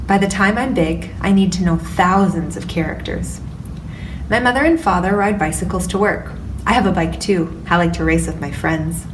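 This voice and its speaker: A woman's voice: fairly low pitch, natural voice. A woman voicing a proud, brave young boy from a big city in a storybook reading, recorded from a distance with background noise.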